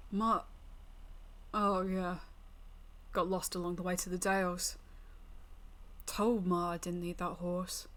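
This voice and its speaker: Woman doing a slow, drowsy country lad. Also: on the low side, a bit bright. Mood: groggy. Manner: folksy, unhurried, puzzled. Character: drowsy, naive young country lad, plain-spoken. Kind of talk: visual novel character narration.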